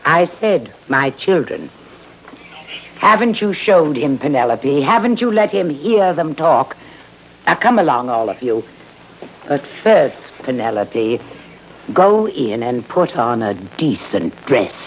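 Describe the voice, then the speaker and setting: A woman's voice: low voice, very animated, takes it slowly, full character voice. An actress playing an elderly, eccentric woman professor in an old time radio drama, brusque, commanding and theatrical. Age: older. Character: imperious, eccentric elderly woman professor devoted to her dolphins. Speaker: scientist, professor. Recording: dull, noisy old radio recording.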